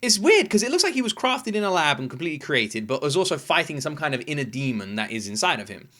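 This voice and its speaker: Bright-voiced man giving casual reaction commentary. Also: fairly high pitch, very animated, fast. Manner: enthusiastic, informal, sweary, emphatic. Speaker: reaction video host.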